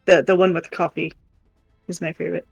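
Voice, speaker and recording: A woman's voice: lowish voice, flat delivery, quick pace, gravelly, slightly rough, dry recording, faint music behind. A woman chatting casually and rambling in a giggly way on a livestream while doing crafts. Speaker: live stream co-host.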